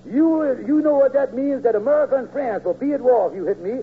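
A man's voice: extremely high pitch, lively intonation, fast, slightly rough, exaggerated voice. A man playing a scheming, grandiose con man with pompous, folksy, ungrammatical speech in a 1940s radio comedy. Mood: indignant bluster. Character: scheming, fast-talking male con man, blustering and grandiose. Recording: old tinny narrow-band radio, hissy hum.

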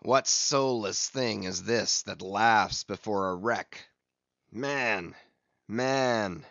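A brooding old whaling captain, as a male reader puts him on, hurling stern commands and defiance. He speaks slowly and heavily. The sound is dry and muffled, like a lo-fi audiobook. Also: lowish voice, a bit bright, dry recording, exaggerated voice. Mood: scornful. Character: grim obsessed older sea captain. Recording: dull lo-fi audiobook recording.

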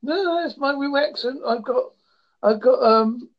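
Fifties male interviewer, Devon-Essex accent, gravelly, plain-spoken, muffled lo-fi sound. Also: very high-pitched, a little slow. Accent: Devon and Essex English. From England. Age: 50s. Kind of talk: casual online interview. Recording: dull lo-fi mic.

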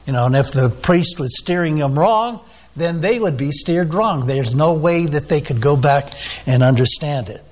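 Male preacher, slightly higher voice, unscripted sermon, lots of fillers, questions to the congregation. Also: lively intonation, normal speed, darkish tone, a little hiss or hum. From United States. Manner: unscripted teaching with frequent fillers, asks the listeners questions. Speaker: pastor.

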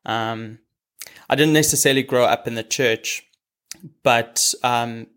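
A man's voice: mid-range voice, steady tone, unhurried, a bit bright, clean tone. A young South African man, a native Afrikaans speaker, talking in a reflective, hesitant way as a guest on a video call. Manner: reflective, many ums and restarts. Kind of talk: casual chat.

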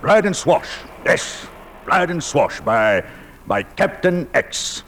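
An actor playing the blustering, salty ghost of a sea captain in a gruff, commanding 1940s radio drama style. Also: male voice, on the high side, sing-song, takes it slowly, a bit bright, slight background noise, full character voice. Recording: reverberant vintage 1940s radio recording.

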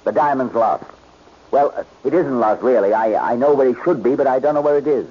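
An actor playing an excitable, easily flustered man in a 1940s radio mystery. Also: medium pitch, fairly quick, husky, close to an everyday voice. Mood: nervous, flustered. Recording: muffled, hissy old radio recording.

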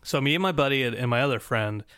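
A man's voice: medium pitch, lively intonation, fast talker, a bit bright, clear, resonant tone, no echo, studio quality. An American man co-hosting a podcast, telling a travel story in a rambling, laughing way with lots of restarts. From United States. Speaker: podcast co-host. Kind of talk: casual storytelling chat.

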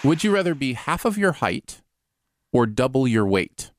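A man's voice: mid-range voice, sing-song, moderate pace, background noise. A man co-hosting a live stream, joking around in casual chat. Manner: playful, jokey.

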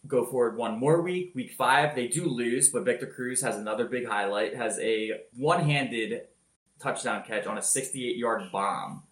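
A man telling football highlights in a casual podcast chat, energetic and loud-voiced. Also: normal speed, roomy sound, rough recording. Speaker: podcast co-host. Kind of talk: casual sports recap.

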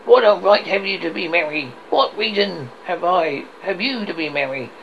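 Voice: medium pitch, normal speed, vocal fry, voice pushed up, close to an everyday voice. A reader voicing a grumpy, miserly old man who is stern and indignant, as in a storybook. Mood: scornful, indignant. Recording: dull audio with faint noise.

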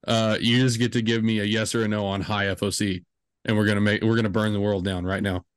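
A man's voice: fairly low pitch, level tone, quick pace, clear, resonant tone, no room sound, clean studio sound. A man in his twenties hosting a podcast, chatting casually and rambling with lots of fillers and jokes. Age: 20s. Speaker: podcast host.

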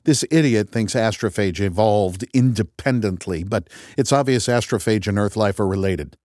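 A man's voice: on the low side, normal speed, darkish tone, no echo, studio quality, lightly acted. Brief: combative, sarcastic male scientist, raised and heated, the narrator's own take.

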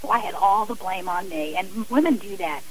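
A woman's voice: medium pitch, expressive, normal speed, darkish tone, slight background noise, poor audio. A woman speaking as an interview guest on radio, earnest and emphatic, explaining with examples and repeated phrasing. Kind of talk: radio interview, personal and advisory talk.

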